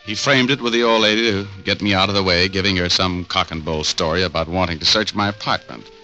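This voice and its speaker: An actor playing a tough, wisecracking private eye who talks in a sardonic, hard-boiled way, in 1940s radio acting style. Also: male voice, sits low, steady tone, fast talker, pushed and bright, soft background music, poor audio. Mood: wry.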